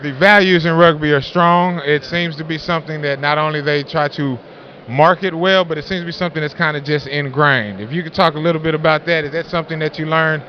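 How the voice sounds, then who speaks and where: A man's voice: a little high, fairly even intonation, fairly quick, lo-fi sound, slight background noise. A man co-interviewing outdoors, chiming in with short remarks and laughing often.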